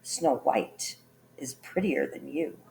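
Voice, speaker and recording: A woman voicing a magic talking mirror that answers in slow, solemn pronouncements. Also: a bass voice, vocal fry, dark tone, slight background noise, voice pushed down, exaggerated voice, low-quality recording. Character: magic talking looking glass, flattering.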